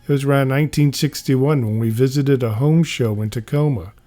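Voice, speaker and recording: A man's voice: mid-range voice, fairly quick, warm tone, faint music behind. A man giving a scripted narration, reading a memoir-style comic aloud.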